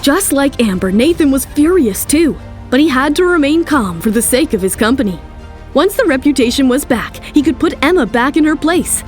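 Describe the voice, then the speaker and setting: A woman's voice: high voice, sing-song, normal speed, soft background music. A woman narrator giving a scripted, dramatic telling of a story.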